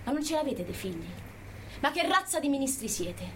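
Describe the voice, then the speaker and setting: A high-pitched, impassioned woman activist, acted by a stage actress delivers a political monologue. She is emphatic and accusing, full of rhetorical challenge. There is some noise in the recording. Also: sing-song, fairly quick, a bit bright. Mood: pleading, grave. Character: indignant Italian activist woman living in California, pleading passionately.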